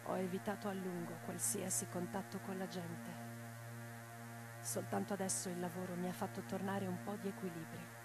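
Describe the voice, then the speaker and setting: A woman's voice: fairly low pitch, level tone, moderate pace, over loud music, lightly acted, low-quality recording. An actress playing an impassioned, reflective female socialist revolutionary in a theatrical radio drama monologue, with a dramatic, declamatory delivery. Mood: grieving, subdued. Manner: declamatory, dramatic, swinging from hushed to forceful.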